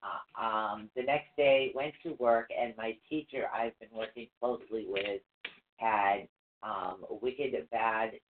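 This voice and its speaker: A man's voice: fairly low pitch, steady tone, takes it slowly. A man co-hosting a live psychic call-in show, talking casually and rambling with fillers. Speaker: co-host and reader. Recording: tinny phone-like line, faint noise.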